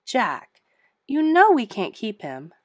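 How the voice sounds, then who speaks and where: A woman reader puts on an exasperated storybook innkeeper, a middle-aged woman with a lifted pitch. She is brisk, emphatic and scolding, firm even when flustered. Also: no room sound, clean studio sound, exaggerated voice. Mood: pleading, firm.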